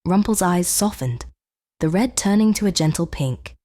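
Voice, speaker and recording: Lowish lady voice reading kids bedtime story, gentle n measured, very dry recording. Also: lively intonation, no echo. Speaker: children's story narrator. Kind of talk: scripted bedtime storybook narration.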